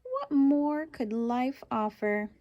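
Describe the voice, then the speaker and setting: A woman voicing a talking pig in a children's storybook, playful and expressive. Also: high-pitched, slow pace, no room sound, dull audio, full character voice. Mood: blissful. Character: talking pig, contented, polite, sometimes bossy. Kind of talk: children's storybook read-aloud.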